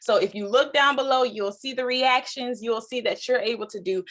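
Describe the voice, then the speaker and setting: A woman's voice: fairly high pitch, normal speed, a bit bright. A woman in her twenties hosting a live group call, chatting in an upbeat way and speaking straight to the audience. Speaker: host.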